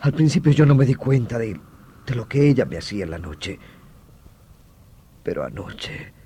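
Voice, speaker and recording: An actor playing an anguished doctor confessing a killing, halting and theatrical, swinging from murmurs to outcries in old radio horror style. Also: male voice, medium pitch, big pitch swings, mellow. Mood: haunted. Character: anguished doctor, brother who confesses killing his vampire wife. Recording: noisy old radio drama recording.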